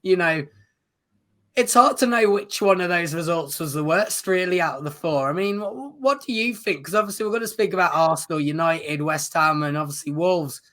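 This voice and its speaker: A man who has lost his voice, chatting casually as a football show co-host in an agreeable, measured way. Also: a little high, a bit bright. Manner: measured, agreeable, uses fillers like "um" and "you know". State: losing his voice.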